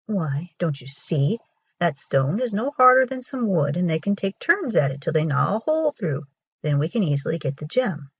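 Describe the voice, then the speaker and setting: A woman voicing a clever, bossy male dog in a folktale, explaining and instructing in a husky voice. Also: lowish voice, very animated, moderate pace, no room sound, exaggerated voice. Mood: self-assured.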